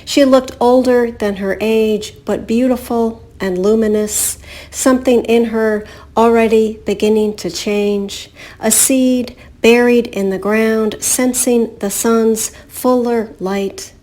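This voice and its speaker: A woman poet reading her own poems in a measured, deliberate way. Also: fairly high pitch, fairly even intonation, mellow. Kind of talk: poetry reading.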